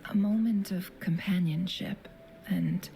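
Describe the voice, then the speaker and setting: A woman playing a serene, wise and wistful young woman in a video game, with soft, breathy, measured poetic delivery. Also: lowish voice, slight background noise. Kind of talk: video game story dialogue and narration.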